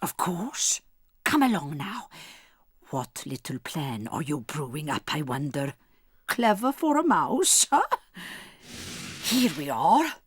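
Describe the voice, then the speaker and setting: A woman's voice: low-pitched, very animated, slow pace, pauses often, breathy edge, no room sound, studio quality, heavily acted. A woman playing a doting, conspiratorial old grandmother, bold and sprightly, in an audio drama. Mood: fond, curious.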